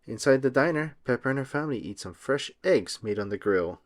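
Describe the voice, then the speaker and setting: A man reading a children's picture book aloud as an expressive storyteller, drawing out words for effect. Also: mid-range voice, brisk. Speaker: read-aloud host.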